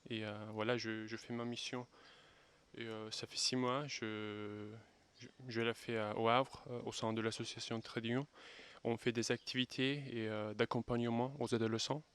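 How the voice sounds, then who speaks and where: A man's voice: mid-range voice, little pitch movement, normal speed, fairly bright tone, clear, resonant tone. A man in his twenties from Turkey with a Turkish accent, a volunteer speaking French hesitantly with frequent fillers. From Istanbul, Turkey. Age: 20s. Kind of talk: interview testimony.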